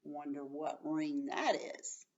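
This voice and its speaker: A woman's voice: low-pitched, little pitch movement, slow pace, a little mellow, a little hiss or hum. A woman schoolteacher giving a read-aloud lesson to children, explaining things in simple words and commenting as she goes. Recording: dull sound, distant from the mic.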